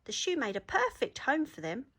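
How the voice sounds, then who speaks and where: A woman reading a children's story aloud in an expressive storytelling manner. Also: sits high, moderate pace, husky.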